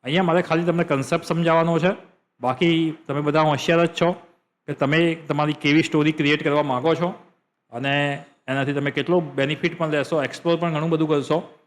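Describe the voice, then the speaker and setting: A man with a Gujarati accent and a fairly high voice hosts a software tutorial. He explains what is on screen step by step, repeating phrases to drive the point home. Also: fairly even intonation, a little fast. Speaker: tutorial host.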